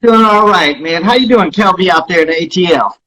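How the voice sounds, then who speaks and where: A man with a husky voice speaking as an interview guest, explaining his company in an earnest, emphatic way and repeating key points. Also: high-pitched, moderate pace, pushed and bright, low-quality recording. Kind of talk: promotional interview talk.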